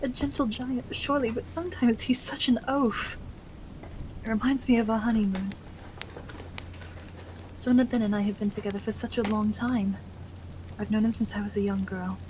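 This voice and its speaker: Girl playing a soothing proper victorian wife, kinda high voice, asmr roleplay, bit of background noise. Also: steady tone, moderate pace, pauses often, darkish tone, natural voice, poor audio. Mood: fond, wistful. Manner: soothing, polite, old-fashioned phrasing. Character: caring, genteel young Victorian wife and hostess.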